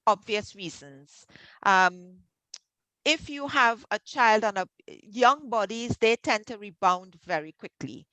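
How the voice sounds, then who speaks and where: A woman's voice: expressive, takes it slowly, no room sound, rough recording. A Trinidadian woman hosting an online health workshop over a video call, encouraging and persuasive, with frequent ums. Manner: encouraging, warm sales pitch with many ums. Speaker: workshop host, health coach.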